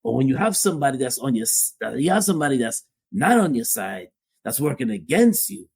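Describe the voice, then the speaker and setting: A man in an easygoing podcast chat, his voice a little husky and breathy at mid pitch. He works through his points slowly and says the same phrase twice or three times to press it home. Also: sing-song. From Guyana (family). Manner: repetitive, restarts and repeats phrases for emphasis. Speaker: podcast co-host. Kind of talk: casual opinion talk.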